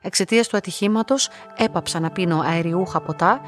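An actress playing a quiet, lonely young woman who tells her own story in a radio play, in a calm, measured, reflective way. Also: lowish voice, over music, close to an everyday voice. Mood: reflective, sombre. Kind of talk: radio play monologue, literary first-person narration.

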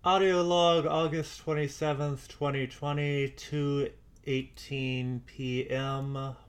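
A man playing a wary, methodical amateur investigator dictating audio logs in an audio drama, speaking deliberately and with some hesitation. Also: a little high, level tone. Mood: puzzled, methodical. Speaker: podcast drama actor. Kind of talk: audio log monologue, audio drama.

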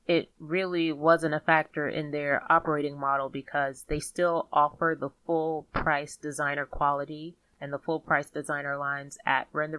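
A woman's voice: deep voice, a bit bright, no room sound, low-quality recording. A woman hosting a podcast, talking in an informal, conversational way. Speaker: podcast host. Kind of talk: casual commentary and chat.

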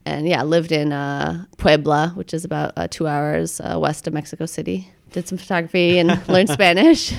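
A woman's voice: low-pitched, smooth. A young woman from Boston, a photographer, talking about her life in a chatty interview, often laughing and hedging with fillers. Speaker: guest photographer. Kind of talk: casual interview.

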